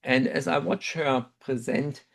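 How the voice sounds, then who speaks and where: A man's voice: medium pitch, fairly even intonation, vocal fry, a bit bright. German-accented man telling a story. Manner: measured, reflective, with frequent ums. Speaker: webinar speaker, dental researcher. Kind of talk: personal storytelling talk.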